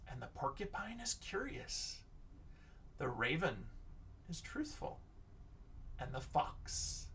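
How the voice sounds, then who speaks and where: A man teacher reading a picture book aloud and chatting to children, expressive, often dropping into hushed whispers. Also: medium pitch, creaky, far from the mic, slight background noise.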